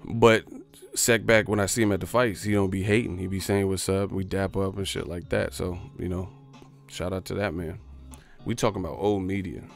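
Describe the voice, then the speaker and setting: A man's voice: low voice, little pitch movement, moderate pace, gravelly, faint music behind. A man ranting on a livestream in a boastful, profane, streetwise way. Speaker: boxing YouTuber. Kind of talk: livestream rant.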